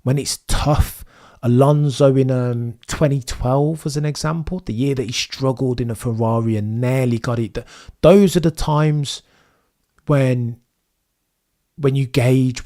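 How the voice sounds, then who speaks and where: Young guy streaming, voice going hoarse, rambly and opinionated, keeps tacking on "right?". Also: mid-range voice, measured pace, mellow. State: losing his voice, hoarse. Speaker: Formula 1 streamer. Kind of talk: live-stream commentary, reading chat.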